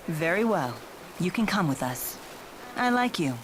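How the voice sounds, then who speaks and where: A woman playing a regal, composed young warrior in a video game, speaking in a measured, formal way. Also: on the low side, sing-song, noisy background. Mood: amused. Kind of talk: video game English dub acting.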